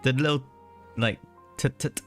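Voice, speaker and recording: A streamer with a mid-pitched voice comments casually on a game as it plays. The delivery is chatty and reactive, in short bursts, with faint game music behind. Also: fairly even intonation, a little slow. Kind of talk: casual live gameplay commentary.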